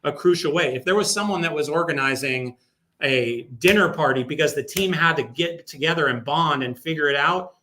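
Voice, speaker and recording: A man's voice: on the high side, fairly quick, bright, forceful tone, a little hiss or hum. A man speaking casually as a guest in a sports radio interview, telling stories with emphatic repetition. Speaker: guest, sports public address announcer.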